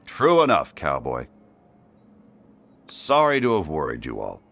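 A man playing a serious, heroic space ranger toy in an animated game, earnest and decisive. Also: a bass voice, unhurried, creaky, full character voice. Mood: apologetic.

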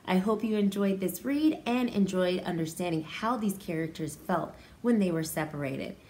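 A woman's voice: on the low side, normal speed, mellow. An American woman, a pre-kindergarten teacher, reading a story aloud to young children and explaining it expressively in simple words. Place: indoors, small room with hard walls. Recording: roomy echo, faint background noise.

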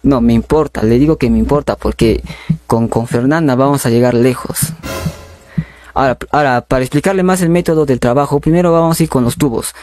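A young man making a prank phone call as a polite, insistent recruiter, halting and repeating his words. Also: mid-range voice, darkish tone, dry recording, soft background music. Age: young adult. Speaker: prank caller. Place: small bedroom studio indoors. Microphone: condenser microphone on a boom arm, close.

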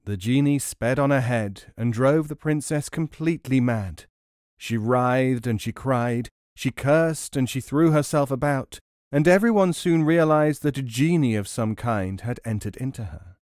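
A man reading traditional tales as an audiobook narrator, in a measured, expressive storytelling manner. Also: medium pitch, dry recording, clean studio sound.

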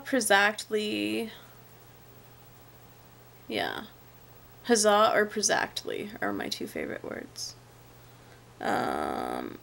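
A woman's voice: mid-range voice, vocal fry. A young woman with a stuffy, congested nose from a cold, chatting in a laid-back way for her vlog while sitting on a sofa at home, recorded on a camera held close. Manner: chatty and laid-back, trails off quietly at the ends of sentences. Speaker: vlogger. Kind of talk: casual vlog answering tag questions. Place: living room, sitting on a sofa, indoors. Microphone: webcam or handheld camera at arm's length.

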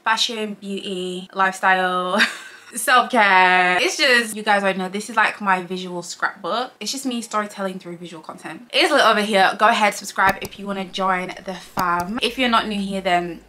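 Young woman, Yorkshire accent, bright clear voice, bubbly vlog chat, bedroom. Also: medium pitch, normal speed. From Leeds, England. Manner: bubbly, talks with her hands. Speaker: beauty vlogger. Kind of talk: casual chatty review to camera. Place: bedroom, indoors.

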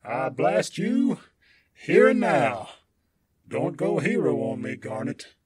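A man voicing a menacing, mocking supervillain in a superhero audio story, speaking in a deliberate, taunting, rasping way. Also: medium pitch, big pitch swings, no room sound, exaggerated voice. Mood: mocking, threatening.

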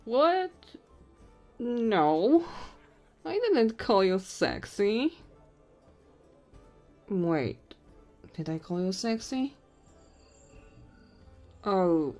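Tipsy goblin innkeeper woman, played by an actress, intimate and teasing, unhurried ASMR roleplay delivery over music. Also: mid-range voice, sing-song, darkish tone. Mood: teasing, flirty. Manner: teasing, intimate, drawn-out drunken musing. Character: tipsy, flirty, short female goblin innkeeper.

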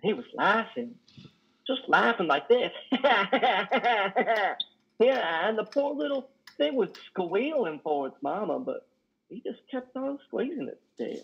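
Man playing a gravelly, stammering lonely trucker. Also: high voice, sing-song, fairly bright tone, exaggerated voice. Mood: troubled, intense. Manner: halting, stammering, folksy ungrammatical speech. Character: lonely, guilt-ridden male trucker, simple-minded and wounded. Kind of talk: dramatic play reading. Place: indoor room on a video call. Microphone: headset. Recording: muffled video-call audio.

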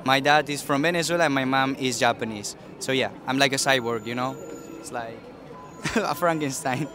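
A man's voice: mid-range voice, moderate pace, music in the background. A man, talking casually and joking on a busy street at night. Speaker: interviewee. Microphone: handheld mic. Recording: clear crowd noise.

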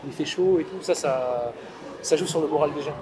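A man's voice: fairly high pitch, brisk. A man in his thirties talking casually about street flirting, hesitant and full of fillers and restarts, recorded outdoors on a city street. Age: 30s. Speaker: street pickup learner. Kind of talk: street approach and casual debrief. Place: busy city street outdoors, traffic and passersby. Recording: outdoor street crowd behind.

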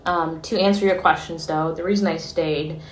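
A woman's voice: low voice, steady tone, moderate pace, echoey room, slight background noise, poor audio. Shaken young woman talking into a phone. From Ukraine. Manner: earnest and shaken, with many fillers and restarts. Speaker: vlogger. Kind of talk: selfie video diary. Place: small room indoors, street outside for some clips. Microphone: phone held at arm's length.

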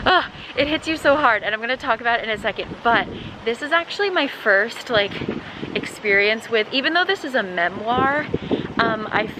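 A young adult woman chatting casually to camera in an animated, informal, digressive way as she vlogs. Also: a little high. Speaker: vlogger and host. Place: outdoors on windy city streets. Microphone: handheld camera at arm's length. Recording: wind and street traffic noise.

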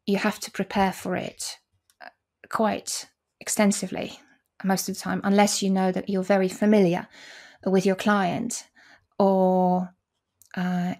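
A woman's voice: medium pitch, mellow. A woman in her forties whose first language is Polish, explaining her work as an interpreter in a video call interview, with frequent restarts and fillers. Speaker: conference interpreter, guest. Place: small room indoors. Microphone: video call, desk mic.

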